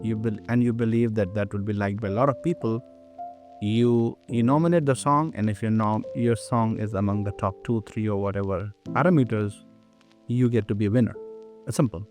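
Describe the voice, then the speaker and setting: A man's voice: on the low side, moderate pace, darkish tone, soft background music. A man hosting a podcast interview, asking questions and reacting with enthusiasm. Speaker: podcast host.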